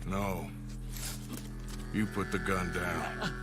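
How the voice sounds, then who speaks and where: An actor playing a gruff, sarcastic middle-aged outlaw gunslinger with a drawl, in a video game cutscene. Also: male voice, deep voice, steady tone, very slow. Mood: threatening. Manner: grumbling, sarcastic. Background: game score music.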